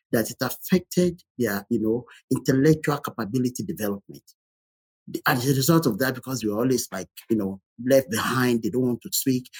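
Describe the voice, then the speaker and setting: Casting: middle-aged man, African accent, husky tone, emphatic discussion style. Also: medium pitch, a little mellow, low-quality recording. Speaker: panel discussion participant. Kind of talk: opinionated conversational discussion.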